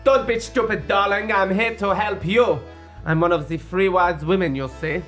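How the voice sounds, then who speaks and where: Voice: medium pitch, steady tone, normal speed, a bit bright, soft background music, close to an everyday voice. A performer voicing a posh, boozy party woman who gushes and calls everyone darling. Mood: gushing. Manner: plummy, gushing, says darling.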